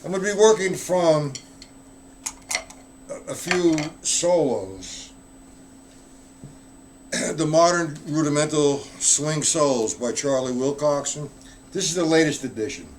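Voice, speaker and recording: A man's voice: on the high side, expressive, slow pace, pauses often, a little hiss or hum. A man giving a casual live-streamed drum lesson, explaining rudiments in a loose, chatty way and answering viewers in the chat. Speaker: drum teacher.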